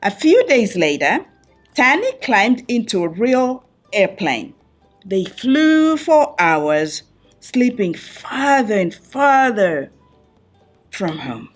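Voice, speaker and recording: A woman reading a picture book aloud to young listeners in an expressive storytelling style, phrasing deliberately with dramatic pauses. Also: medium pitch, faint music behind. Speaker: children's book reader.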